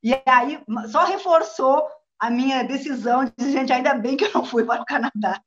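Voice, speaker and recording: A woman's voice: high voice, normal speed. A middle-aged woman from southern Brazil with a Brazilian accent, giving a casual, conversational personal account as an interview guest. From Rio Grande do Sul, southern Brazil. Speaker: guest, journalist. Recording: dull, lo-fi online call.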